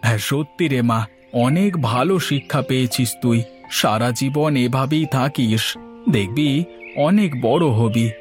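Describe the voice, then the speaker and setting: A man's voice: mid-range voice, measured pace, natural voice. Bossy fatherly village landlord voiced by a man, music behind. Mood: kindly, instructing. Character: paternal, bossy older male village landlord, proud of his honour. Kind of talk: Bengali moral story cartoon dubbing.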